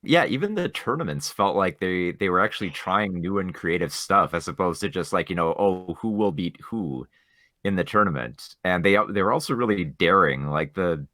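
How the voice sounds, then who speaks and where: A man with a deep voice and a bright, forceful edge chats as a podcast co-host. He explains things in a loose, rambling, casual way. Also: lively intonation, moderate pace, no room sound, clean studio sound. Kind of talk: casual group chat about pop culture.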